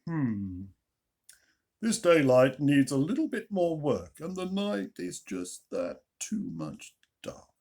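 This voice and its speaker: Man acting God, storybook character, husky, musing, self-satisfied, very animated pitch. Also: slow pace, exaggerated voice. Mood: musing, pleased. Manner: musing, pleased with himself.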